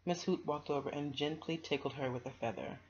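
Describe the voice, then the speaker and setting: A woman's voice: low voice, fairly quick. A woman from the United States narrating a children's picture book aloud, with casual asides. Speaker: storytime host reading aloud. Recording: dull, noisy home recording.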